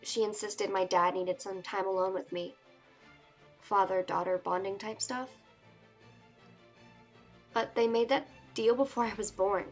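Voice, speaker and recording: A woman voicing a sardonic, anxious teenage princess-to-be, narrating a fantasy book in a measured, wistful way. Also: mid-range voice, fairly even intonation, faint music behind, lightly acted. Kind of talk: first-person dramatic book reading.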